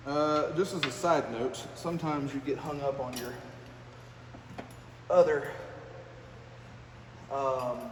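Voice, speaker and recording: Echoey, folksy American man talking while working. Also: a little high. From United States. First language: English. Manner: folksy, rambling, self-deprecating muttering while working. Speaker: trucker and vlogger. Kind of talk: casual hands-on repair vlog. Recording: echoey, distant mic, clear workshop noise.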